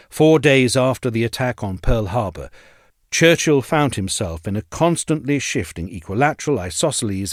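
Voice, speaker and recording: A male narrator reads formal prose in a low voice, with wide, expressive swings of pitch. His delivery is measured and articulate, and the recording is dry and close. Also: dry recording. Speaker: narrator reading an essay aloud. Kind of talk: scripted narration of a history essay.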